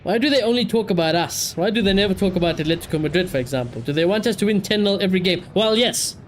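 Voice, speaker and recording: Guy streaming live, kinda high voice, blunt and sweary, animated chat, bit of noise behind him. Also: fast talker. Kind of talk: casual livestream chat, reacting and ranting.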